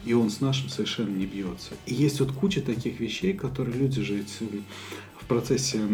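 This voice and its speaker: Low mellow guy from russia chatting on a podcast, slow and halting, faint music behind. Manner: thoughtful, halting, many fillers. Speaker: podcast co-host. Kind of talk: casual conversation.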